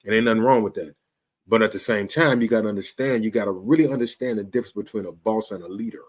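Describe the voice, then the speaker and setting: A man in his fifties with a Chicago accent, hosting his own show and talking straight to camera in a relaxed, slangy way, often checking in with "you dig?". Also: fairly low pitch, fast. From Chicago, USA. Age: 50s. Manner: laid-back street slang, frequent "you dig?" and restarts. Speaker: show host. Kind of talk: casual talk to camera.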